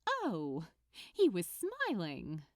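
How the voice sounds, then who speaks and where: A woman reads fiction aloud with a highly animated, varied melody. She takes her time over the phrases, in a close, dry recording with little room sound. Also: very slow, no echo. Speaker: audiobook narrator. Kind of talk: expressive scripted fiction narration.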